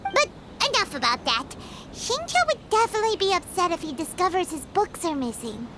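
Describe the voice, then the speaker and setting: A woman voicing a tiny, excitable, childlike floating fairy companion in a video game, blunt and speaking of herself in the third person. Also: very high voice, brisk, slight background noise, full character voice. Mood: curious. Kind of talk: animated video game character acting.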